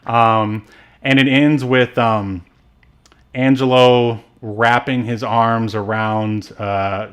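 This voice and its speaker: A man's voice: mid-range voice, flat delivery, slow, a bit bright, smooth. A man co-hosting a podcast, talking casually and wryly as he reviews a movie. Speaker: podcast co-host.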